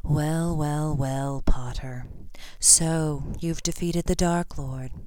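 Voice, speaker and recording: A woman voicing a cold, cruel older wizard villain in a fantasy story, with slow, mocking menace. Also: deep voice, steady tone, mellow, no echo. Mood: cold gloating.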